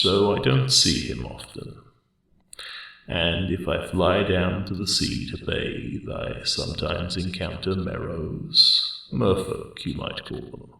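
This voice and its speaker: An immense, ancient dragon speaks in an extremely deep, growling, gravel-filled voice that echoes heavily through a cave-like space. He is a courteous, wry old guardian who takes his time, rambling amiably in genteel, old-fashioned phrases for an ASMR fantasy roleplay. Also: bright, forceful tone, full character voice. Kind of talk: ASMR character roleplay monologue. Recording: roomy cave-like echo, distant.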